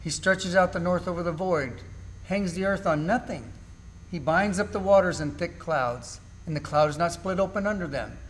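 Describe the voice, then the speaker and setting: Man playing anguished righteous sufferer, solemn, declamatory, bitter, slightly high male voice, amateur scripture drama, light background noise. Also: moderate pace, lightly acted, poor audio. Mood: awed. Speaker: church class amateur actor. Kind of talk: amateur dramatized Bible reading.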